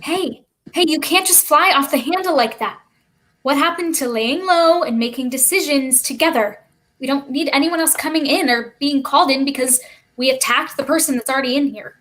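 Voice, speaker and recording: Woman playing a cautious, high-voiced child. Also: normal speed, a bit bright. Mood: urgent, calming. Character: cautious, quick-witted child stowaway, the level-headed one. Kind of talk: staged reading of a play over video stream.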